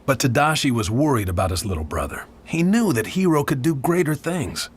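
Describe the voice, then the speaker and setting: A man's voice: mid-range voice, lively intonation, a little fast, slightly rough. A man reading a scripted children's read-along storybook as its narrator. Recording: music bed behind the voice. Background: background music.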